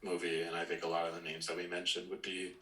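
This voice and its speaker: A man's voice: sits low, completely flat delivery, quick pace, pushed and bright. A man co-hosting a podcast, chatting casually, recorded with a roomy echo. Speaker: podcast co-host. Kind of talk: casual chat about movies.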